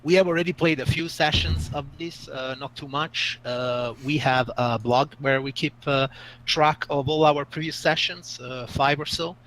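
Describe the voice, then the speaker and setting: A man's voice: on the high side, moderate pace, a bit bright, poor audio. A man from Italy, running a tabletop game as game master, talking with frequent hesitations. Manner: hesitant, many filler sounds. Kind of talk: hosting a tabletop game stream.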